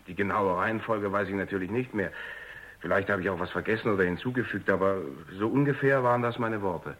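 A radio drama performance by an actor as a deferential male technician. His low voice is subdued and hesitant, with a reluctant, apologetic politeness toward his superiors. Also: quick pace, a bit bright, natural voice. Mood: apologetic, polite.

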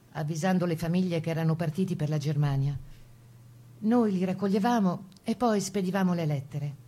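An actress plays a woman partisan recalling wartime in a radio drama. Her voice is fairly low and soft-edged, dark and relaxed in tone. She tells it earnestly and steadily, with sober resolve. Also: natural voice. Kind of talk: dramatised testimony reading for radio.

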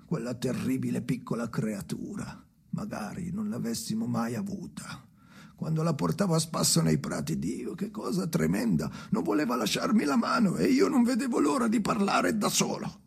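Gravelly, husky, brooding man. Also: medium pitch, sing-song, exaggerated voice. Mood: bitter, regretful. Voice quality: husky, gravelly, often sinking into whisper. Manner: halting short phrases, brooding. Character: Henry, bitter anguished man talking to his dead father. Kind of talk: literary radio drama monologue.

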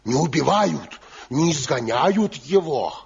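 Casting: commanding head of a craftsmen's guild, measured oratory, fairly high voice, muffled old radio sound. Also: male voice, animated, gravelly, exaggerated voice. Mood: solemn, admonishing. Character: authoritative guild elder, principled keeper of order. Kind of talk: Soviet radio drama acting. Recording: dull lo-fi 1970 radio recording.

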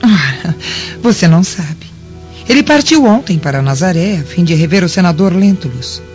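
A woman's voice: on the low side, lively intonation, moderate pace, a little mellow, lightly acted. A Brazilian woman playing a composed, courteous Roman governor's wife in a radio drama, genteel and formal. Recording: muffled audio with music behind.

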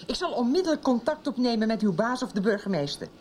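An actress playing a haughty, combative businesswoman in a radio drama, with a sharp tongue. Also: fairly high pitch, lively intonation, fast, slight background noise, natural voice. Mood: indignant, defiant. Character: haughty, combative businesswoman guarding the family name. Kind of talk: 1990s Dutch radio drama acting.

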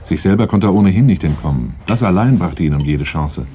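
An actor playing a weary, resolute older brother in a 1980s radio drama, speaking in a terse, controlled, matter-of-fact way. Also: male voice, low voice, lively intonation, a little fast, dark tone, a little hiss or hum. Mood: grim, reflective. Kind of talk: 1980s German radio drama, first-person narration and dialogue.

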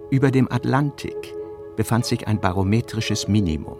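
A man reading literary prose as a radio play narrator, measured and articulate. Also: lowish voice, over music.

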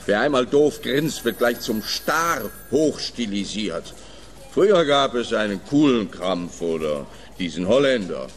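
An actor playing a pompous, blustering police officer in a radio play. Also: male voice, on the low side, expressive, unhurried, soft background music.

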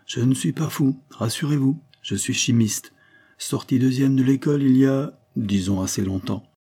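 A man's voice: medium pitch, normal speed, warm tone, breathy edge, pitched above the performer's own voice. A man voicing a fat, middle-aged chemist in an audiobook, blustering and evasive, with colloquial, forced joviality. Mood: jovial, reassuring. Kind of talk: audiobook character dialogue.

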